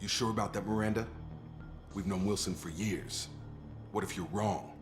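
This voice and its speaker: A man playing an earnest, loyal soldier in a video game, speaking in a direct, informal and steady way. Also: lowish voice, moderate pace, music in the background, close to an everyday voice. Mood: challenging. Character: earnest, loyal male soldier, plain-spoken and conscientious. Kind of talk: video game cutscene acting.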